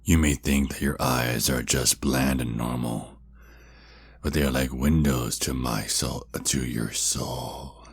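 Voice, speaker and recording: A male actor performs a seductive, possessive vampire kidnapper for an ASMR roleplay. His voice is extremely low and full of gravelly fry, husky at the edges. He talks slowly and intimately, taunting and controlling his captive. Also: fairly even intonation, exaggerated voice. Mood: seductive, hypnotic. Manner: slow, intimate, deliberate, with drawn-out pauses.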